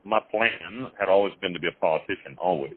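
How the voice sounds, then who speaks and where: A man being interviewed over the phone, telling his life story in a rambling, halting way with many restarts and some blunt, crude wording. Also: deep voice. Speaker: interview guest. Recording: tinny phone line.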